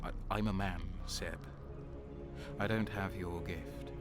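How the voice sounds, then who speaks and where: A man playing a defiant, embittered renegade soldier in a dark sci-fi audio drama, brooding and intense. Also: sits low, fairly even intonation, slow pace, over music. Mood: brooding, bitter.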